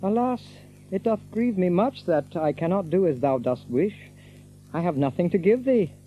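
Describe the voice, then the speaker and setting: An actor playing an unruffled, polite gentleman in an archaic audio drama, speaking with gentle mockery. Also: high voice, expressive, normal speed, lo-fi sound, soft background music. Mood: mock regret. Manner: polite, leisurely, gently mocking. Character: mild, courteous, unruffled gentleman stranger with hidden strength.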